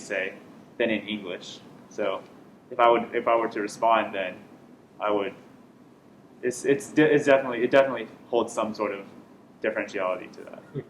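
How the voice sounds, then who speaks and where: A man's voice: mid-range voice, a bit bright, a little hiss or hum. A young man, a senior student on a panel, speaking casually and hedging his thoughts. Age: young adult. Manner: casual, hedging, lots of "like" and restarts. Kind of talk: panel discussion. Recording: echoey room.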